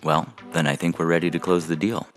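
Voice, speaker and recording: A man playing a brisk, businesslike official in an audio fiction reading, formally running a procedure. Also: low-pitched, level tone, faint music behind, lightly acted.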